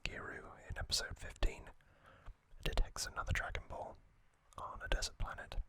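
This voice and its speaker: A man whispering an ASMR trivia recap as host, hushed and unhurried, with hesitant pauses between short phrases.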